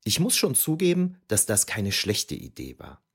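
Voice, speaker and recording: A man's voice: lowish voice, wildly swooping pitch, normal speed, a bit bright, husky, exaggerated voice. A man playing a reflective ancient historian who narrates memories of youth in a scripted, wryly explanatory way for an audio drama. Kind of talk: scripted first-person narration.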